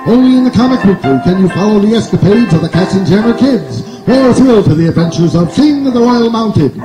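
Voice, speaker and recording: A male old-time radio announcer with a high, widely swinging delivery, declaiming dramatically. Music plays behind him in an echoey stage recording. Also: animated, brisk. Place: outdoor night stage, distant camera.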